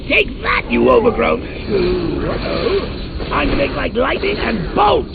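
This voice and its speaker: An actor playing a manic, theatrical clown supervillain in a video game, cackling and taunting with playful wordplay. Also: male voice, extremely high pitch, wildly swooping pitch, slow, gravelly, very noisy, exaggerated voice. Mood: gleeful. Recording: tinny lo-fi game audio with loud music.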